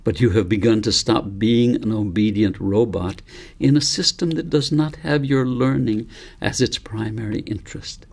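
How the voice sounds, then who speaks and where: A wise storybook elephant read by a man teaches in a low, gravelly voice. He is firm and earnest, an adamant mentor who lays out each point deliberately, with a husky grain to his speech. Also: darkish tone. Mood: encouraging. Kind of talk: storybook character read by the author.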